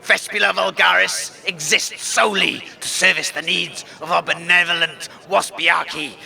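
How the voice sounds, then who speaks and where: A man's voice: sits high, steady tone, moderate pace, creaky, pushed and bright, heavily acted. A man playing a pompous, ranting wasteland cult leader in a comic audio drama, speaking with theatrical, grandiose contempt. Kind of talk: comedic audio drama acting.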